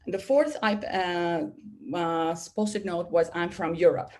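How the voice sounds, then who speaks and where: Lowish-voiced woman on a video call webinar, animated, lots of restarts and "you know". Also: normal speed. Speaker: webinar speaker. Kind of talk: webinar talk.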